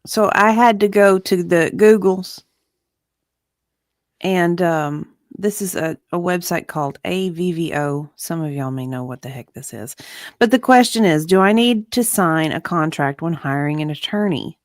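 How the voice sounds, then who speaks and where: A woman's voice: on the low side, a little slow, dry recording, studio quality. A woman co-hosting a livestream in a casual, chatty style, at times reading aloud. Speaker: livestream co-host. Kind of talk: casual chat, reading aloud from web pages.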